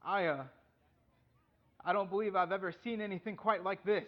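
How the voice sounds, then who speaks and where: An actor playing an astonished rodeo announcer calling from the booth in a radio drama, over muffled, noisy broadcast sound. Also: male voice, high-pitched, fairly even intonation, moderate pace, lightly acted. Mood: stunned. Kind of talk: sports commentary in radio drama.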